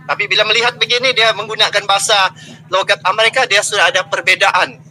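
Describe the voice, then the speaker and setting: A man's voice: high voice, fairly even intonation, fast talker, sharp tone, low-quality recording. A man with a Malaysian Malay accent hosting a reaction video, chatting casually with frequent fillers. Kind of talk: casual commentary.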